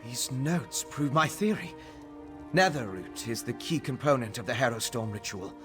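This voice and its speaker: A man's voice: medium pitch, animated, moderate pace, lightly acted. A man playing an earnest, troubled but focused scholarly alchemist in a fantasy video game, explaining things thoughtfully and carefully. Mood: thoughtful, intrigued. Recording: prominent game music behind the voice.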